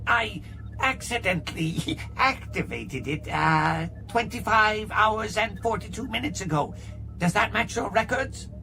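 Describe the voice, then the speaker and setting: A man voicing a scheming, greedy male alien barkeeper with a high, wheedling voice in an audiobook, music behind him. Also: animated, a little slow, vocal fry, bright, forceful tone, exaggerated voice. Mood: nervous. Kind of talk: audiobook character voice.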